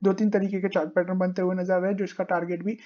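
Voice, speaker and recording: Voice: medium pitch, flat delivery, very fast, highs cut off. A stock market channel host explaining a company in a voice-over over a screen share, keeps pointing things out on the chart and mixes English finance terms into Hindi. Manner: explanatory, constantly pointing at the chart with "देखिए" and "यहाँ पर". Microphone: voice-over recorded separately from screen capture.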